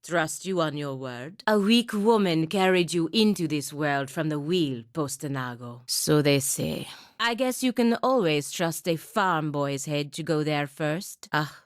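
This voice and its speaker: Low-voiced, proud, sardonic lady paladin. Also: expressive, unhurried, no echo. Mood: dry, sardonic. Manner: dry, sardonic, commanding. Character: stern, proud avian godlike woman paladin, dutiful and sardonic. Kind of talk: video game character dialogue.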